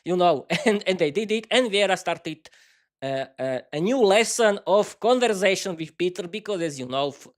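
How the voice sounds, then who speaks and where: A man with a Slovak accent, a native Slovak speaker, hosting a casual live-stream podcast in a halting way with many restarts. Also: high-pitched, lively intonation. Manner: halting, many restarts and fillers, talks to himself by name. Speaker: podcast host.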